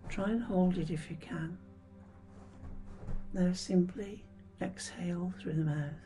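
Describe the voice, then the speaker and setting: Hushed, low, mellow woman guiding meditation. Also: fairly even intonation. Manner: soothing, hushed, breathy delivery with gentle pauses. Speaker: meditation guide. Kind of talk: scripted guided meditation. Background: background music.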